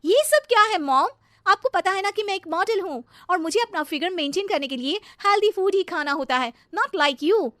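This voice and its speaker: A woman's voice: very high-pitched, lively intonation, normal speed, fairly bright tone, no room sound, voice pushed up. A woman voicing a spoiled, haughty young married model in a cartoon story, snappy and whining, dropping in English phrases. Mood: angry, scornful. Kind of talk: cartoon moral-story dialogue.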